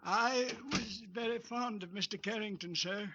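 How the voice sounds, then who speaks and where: An old butler speaks with polite deference. His voice is high and bright. The 1940s radio recording is dull and narrow. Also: normal speed. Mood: deferential. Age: older.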